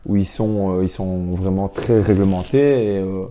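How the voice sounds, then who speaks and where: A man's voice: sits low, fairly even intonation, a little slow, dark tone. A young man talking informally and reflectively in an interview as a professional athlete, often repeating words as he builds his sentences. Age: young adult. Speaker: professional basketball player, interview guest. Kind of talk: casual interview talk.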